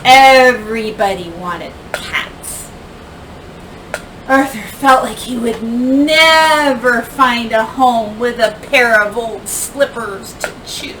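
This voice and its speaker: A woman teacher reading a children's picture book aloud expressively, with deliberate phrasing, dramatic pauses and emphasis. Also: fairly high pitch. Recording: distant mic, faint background noise.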